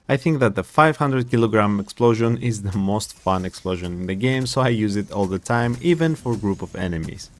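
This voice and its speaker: A man's voice: on the low side, no echo. A man from Greece with a Greek accent and non-native English, narrating a confident gameplay guide as a channel host and calling his viewers guys.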